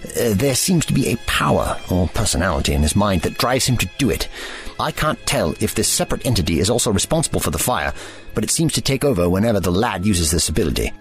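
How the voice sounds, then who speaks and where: A deep-voiced, eccentric investigator, played by a man in an audio drama. He speaks politely and formally, with calm authority, and his pitch moves about a lot over background music. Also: fast. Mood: calm, explanatory. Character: eccentric, courteous male time-travelling investigator, calm and analytical.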